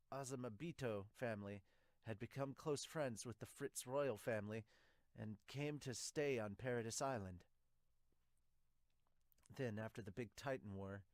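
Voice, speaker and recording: A young man giving an amateur dramatic read-aloud of manga dialogue, somewhat halting, into a close mic. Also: lowish voice, no echo. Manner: halting reading with stumbles. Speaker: podcaster reading a manga aloud as an amateur dub. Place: small home room, indoors. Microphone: large studio mic with pop filter, close.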